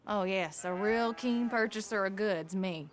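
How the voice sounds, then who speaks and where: A woman's voice: medium pitch, a little slow, a little hiss or hum, natural voice. An actress playing a hardened American frontier wife and mother, frank and rural in speech, in a game drama. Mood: teasing. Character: hardened, plain-spoken frontier wife and mother, fed up yet hopeful. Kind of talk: naturalistic video game drama acting.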